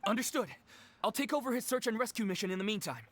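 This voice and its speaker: An actor playing a dutiful, formal rescue squad subordinate in a video game. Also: male voice, sits high, animated, fast talker, fairly bright tone.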